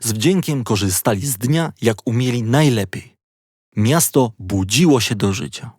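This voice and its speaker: A man reading a children's audiobook as a playful, expressive storyteller. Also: mid-range voice, a little slow, husky, no room sound, clean studio sound. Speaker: audiobook narrator. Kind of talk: scripted children's story narration.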